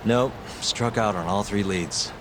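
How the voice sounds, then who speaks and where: Man voicing a game detective, lowish voice, deadpan, polite, easygoing, noisy city backdrop. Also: measured pace, lightly acted. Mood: casual. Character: dry, laid-back male private detective, ex-lawyer. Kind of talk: English video game dub, conversational.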